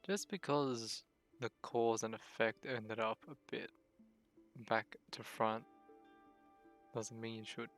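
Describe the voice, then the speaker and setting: A man's voice: fairly low pitch, fairly even intonation, takes it slowly, pauses often, a bit bright, faint music behind. A man streaming a video game, casually reading dialogue aloud and adding his own comments.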